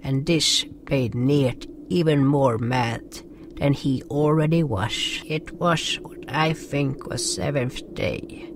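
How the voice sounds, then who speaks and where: A man narrating a story in the first person, in a slow, earnest amateur reading. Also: mid-range voice, background noise. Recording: mono narration over music.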